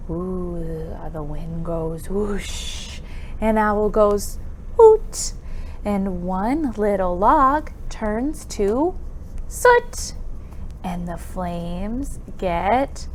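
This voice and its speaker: A woman leads a storytime for small children at a very slow, deliberate pace. Her pitch swings widely as she exclaims, asks questions and counts in playful songs. Some background noise sits behind her voice. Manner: animated, exaggerated exclamations and questions to young children. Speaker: children's library storytime presenter.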